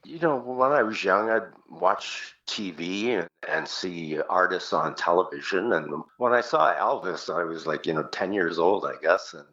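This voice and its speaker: A man's voice: low-pitched, measured pace, few pauses, pushed and bright, highs cut off. An older man with a Canadian accent, a singer casually reminiscing as an interview guest.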